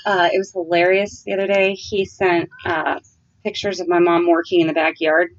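A woman's voice: lowish voice, flat delivery, normal speed, muffled recording. Playful storytelling woman on a podcast. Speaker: podcast co-host. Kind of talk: casual chat.

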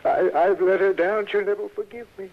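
An actor playing a fussy, sentimental, self-important older safe maker in a 1960s radio drama, halting and repeating himself in a husky voice. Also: male voice, sits high, steady tone. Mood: heartbroken, guilty. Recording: dull old radio broadcast.

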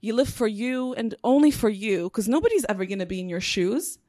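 A woman's voice: fairly high pitch, animated, a little fast, warm tone, no room sound, clean studio sound. A woman in her twenties performing a spoken word poem with a rhythmic, emphatic delivery at a live panel. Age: 20s.

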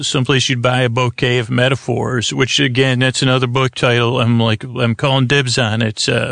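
Creaky-voiced man, meandering and halting. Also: mid-range voice, a bit bright, dry recording, clean studio sound. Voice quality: gravelly, creaky. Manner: meandering, halting, digressive, self-interrupting. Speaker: podcast host. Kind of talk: rambling, improvised bedtime podcast monologue. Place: close, dry studio.

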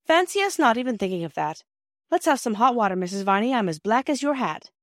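A woman reading the part of an eager, brisk young boy in an audiobook. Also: a little high, dry recording, studio quality, voice pushed up. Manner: brisk, excitable, indignant.

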